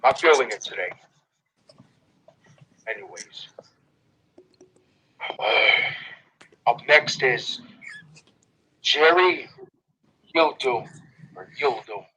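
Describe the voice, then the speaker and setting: A man's voice: mid-range voice, lively intonation, slow, pauses often, sharp tone, slightly rough, poor audio. A man hosting a gaming video, playfully running a mock roll call over voice chat with crude teasing. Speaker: gaming channel host. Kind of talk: joking voice chat while role-playing a roll call.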